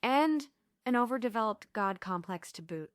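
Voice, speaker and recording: A woman's voice: on the high side, animated, moderate pace, smooth, no echo, studio quality, lightly acted. A woman playing a sarcastic, wounded, headstrong heroine with a dramatic delivery at a stage script table read.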